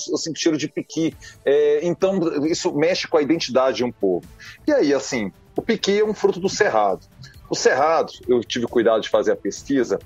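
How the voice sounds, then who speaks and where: A man from Goiás giving animated, digressive commentary on a radio talk show, often tagging lines with questions. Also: on the high side, slightly rough, no room sound, dull audio. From Goiás, Brazil.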